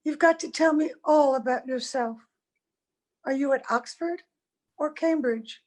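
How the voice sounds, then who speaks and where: Woman acting a high-voiced, slow, wistful wife. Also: a little mellow. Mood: wistful, gentle. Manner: tender, wistful, measured. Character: gentle, wistful ageless wife, mysterious visitor, secretly old. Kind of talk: old-time radio drama acting. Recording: home video call with music.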